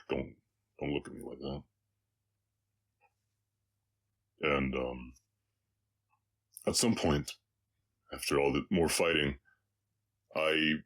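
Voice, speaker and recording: A man playing a gentle, weary orc cowboy with a slight Southern accent, speaking haltingly and reflectively to a listener in an intimate roleplay audio drama. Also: rumbling low, full character voice. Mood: fond, bashful, then solemn, reminiscing. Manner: halting, reflective, soft-hearted, pausing often.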